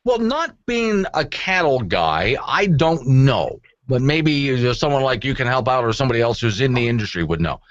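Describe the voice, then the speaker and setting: A man's voice: mid-range voice, very animated, moderate pace, few pauses, no echo, dull audio. A man hosting a call-in radio talk show, chatting conversationally with wry, joking asides. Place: radio studio.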